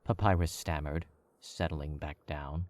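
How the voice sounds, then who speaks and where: Deep-voiced guy reading fanfic aloud, calm and a bit wry, dead dry sound. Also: a little slow, dry recording. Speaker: narrator. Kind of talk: audiobook reading of fan fiction.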